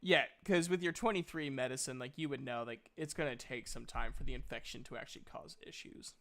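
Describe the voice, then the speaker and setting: A man's voice: mid-range voice, brisk, gravelly, fairly bright tone. A man narrating a tabletop roleplaying game as game master, explaining things in a hesitant, improvised way.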